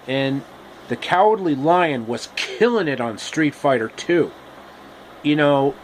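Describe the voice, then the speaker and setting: A man narrating a comic story casually and haltingly, pausing mid-sentence. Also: a little high, slight background noise, low-quality recording.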